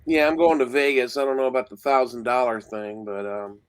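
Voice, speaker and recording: Middle aged guy with a stuffed up nose chatting fantasy football, kinda halting, normal pitch. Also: steady tone, clear, resonant tone. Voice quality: nasal. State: congested with a cold. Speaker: co-host of a fantasy football show. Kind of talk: casual sports rankings talk.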